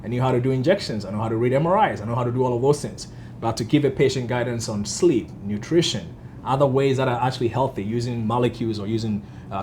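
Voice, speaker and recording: A middle-aged man from Ghana, a physician, talking earnestly in a casual interview and often agreeing with a quick "yes, yes". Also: mid-range voice, a little mellow, slight background noise. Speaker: physician guest.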